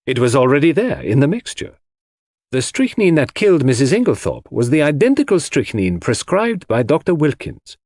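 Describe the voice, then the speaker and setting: A man voicing a self-assured detective who presents his deductions with impressive, deliberate flourish in an audiobook. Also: a little high, big pitch swings, darkish tone, no echo, studio quality, voice pushed up. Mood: methodical, confident. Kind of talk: audiobook character dialogue.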